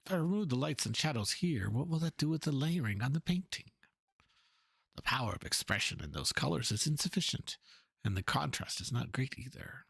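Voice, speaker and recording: Game role, male performer: fretful, formal painter; husky, gravelly delivery with lively pitch. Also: fairly quick, dry recording, exaggerated voice. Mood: fretful, brooding. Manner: formal, ponderous. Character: earnest, fretful male painter, polite and wordy. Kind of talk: dramatic reading of game dialogue.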